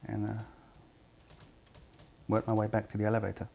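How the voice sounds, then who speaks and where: A man acting as an uneasy building cleaner giving a statement in a crime drama. His voice is low and very flat, with a gravelly fry. He talks plainly and haltingly, understating everything. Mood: matter-of-fact. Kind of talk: acted police interview testimony.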